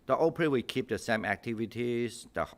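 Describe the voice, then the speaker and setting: A man's voice: mid-range voice, expressive, moderate pace. A jovial man with a mixed, non-native English accent, chatting casually as he hosts an online discussion as a monk. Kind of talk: casual chat. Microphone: video call.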